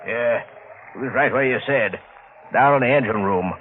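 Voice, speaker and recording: A man playing a matter-of-fact salvage diver giving a report in an old-time radio drama, plain and measured. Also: medium pitch, close to an everyday voice. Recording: tinny, noisy vintage radio recording.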